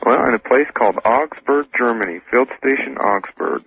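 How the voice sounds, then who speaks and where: An American man answering interview questions as a radio guest, husky, polite and matter-of-fact. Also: mid-range voice, expressive, normal speed, slight background noise. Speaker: radio show guest, ex-soldier. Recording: tinny phone line on radio.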